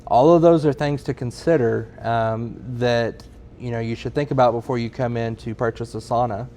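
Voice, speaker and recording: Man, mid pitch, casual advice, frequent ums, faint background noise. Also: moderate pace. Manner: relaxed, many ums and you-knows. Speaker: sauna store staff co-host. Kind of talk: casual sales advice chat.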